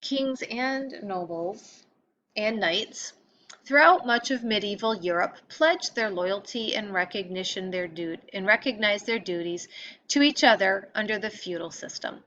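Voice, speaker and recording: A woman teacher reading a textbook lesson aloud in an expository way, pausing to stress key terms. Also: medium pitch, bright, forceful tone. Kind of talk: read-aloud lesson narration. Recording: dull lo-fi audio with clear background noise.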